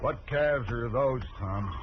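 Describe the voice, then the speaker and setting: A man's voice: medium pitch, slow, close to an everyday voice. Male actor as stubborn cattle baron, American western drawl, commanding, folksy, radio drama, muffled and slightly noisy. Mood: gruff, commanding. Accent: American rural western. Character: proud, stern, stubborn male cattle rancher. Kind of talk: 1950s radio western acting.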